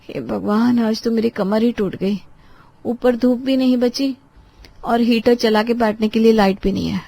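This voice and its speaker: A woman's voice: on the high side, steady tone, unhurried, vocal fry, dark tone, no echo. Dutiful young daughter-in-law, voiced by a woman. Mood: weary. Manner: deferential, obliging.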